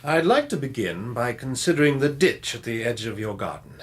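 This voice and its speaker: An actor playing an earnest, methodical English police inspector in a radio drama, questioning firmly and politely. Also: male voice, medium pitch, sing-song, close to an everyday voice. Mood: polite, probing. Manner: measured, firm questioning.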